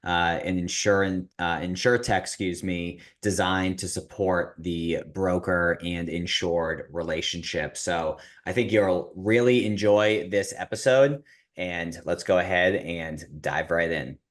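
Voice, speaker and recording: Deep-voiced man introducing a podcast. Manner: reads quickly, stumbles and corrects himself. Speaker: podcast host introducing the episode. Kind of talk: episode introduction.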